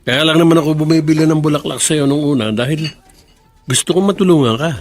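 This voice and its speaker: Man acting high-voiced domineering Filipino engineer. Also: quick pace, close to an everyday voice. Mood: smooth, flirtatious. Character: bossy, short-tempered male construction engineer, smooth with women. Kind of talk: Filipino radio drama acting. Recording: music behind the voice.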